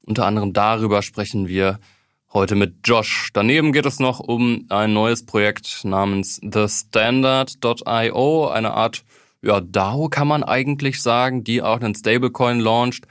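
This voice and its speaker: A man hosts a podcast interview from a studio with a slightly low voice. He reads his intro smoothly, then asks his guest short, easy questions. The sound is dry and close to the mic. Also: unhurried, a bit bright, no room sound. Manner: smooth scripted intro, then brief conversational prompts. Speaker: podcast host, editor. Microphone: studio microphone.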